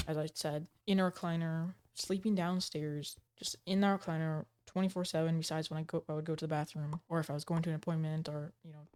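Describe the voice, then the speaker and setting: Low-voiced woman, a bit under the weather. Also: darkish tone. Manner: rambling, hesitant, lots of "like" and restarts. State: slightly sick, voice a little off. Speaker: gaming channel host. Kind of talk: casual talking-to-camera update. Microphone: desk microphone.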